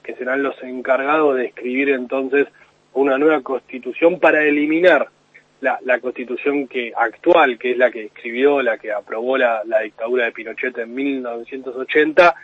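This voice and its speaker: A man explaining news analysis to a radio host as correspondent, steady and with frequent restarts. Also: mid-range voice, moderate pace, husky. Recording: phone-line call into radio.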